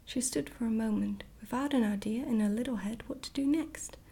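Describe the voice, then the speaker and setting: A woman gently reading a storybook aloud in a hushed, whispered ASMR style. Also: fairly high pitch, fairly quick, creaky, husky. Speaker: storybook reader, ASMR creator.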